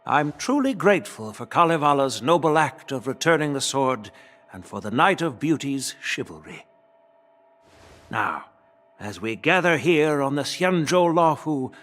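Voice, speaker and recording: An actor playing a dignified elderly grandfather and general in a video game, formal, measured and authoritative. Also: a little high, sing-song, a bit bright, soft background music. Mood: solemn, formal.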